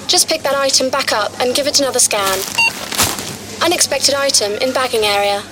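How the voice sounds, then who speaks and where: A woman's voice: high voice, brisk, noisy background, natural voice. A woman playing a smug supermarket self-checkout machine in a comic advert, mockingly apologetic and taunting. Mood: robotic, stuck. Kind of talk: comedic advert acting.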